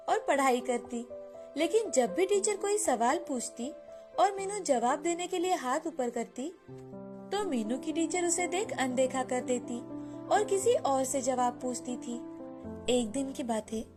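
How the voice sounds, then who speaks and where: A woman's voice: sits high, lively intonation, unhurried. A woman narrator telling a scripted moral story for children. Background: background music.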